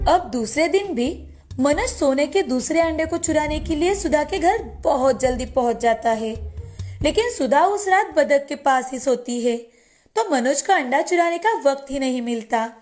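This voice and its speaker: A woman's voice: sits high, lively intonation, unhurried. A woman narrating a scripted children's moral story as a storyteller. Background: soft background music.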